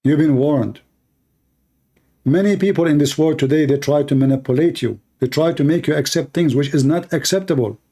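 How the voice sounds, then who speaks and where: A man's voice: a little high, normal speed, mellow. A man giving emphatic preaching commentary to his viewers, declaiming and repeating lines for emphasis. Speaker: online religious debater.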